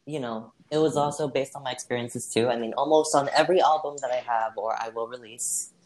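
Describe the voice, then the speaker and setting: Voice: mid-range voice, fairly quick, a little hiss or hum, rough recording. A person answering as a guest in a casual role-played interview, hesitant and leaning on fillers, brushing off slips. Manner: hesitant, many fillers, laughs off mistakes. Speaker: interview guest playing a singer.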